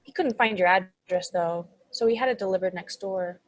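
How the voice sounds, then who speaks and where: A woman's voice: mid-range voice, normal speed, lightly acted. A woman playing an insecure thirteen-year-old demon, timid and apologetic, in a comedy script read. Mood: earnest, eager.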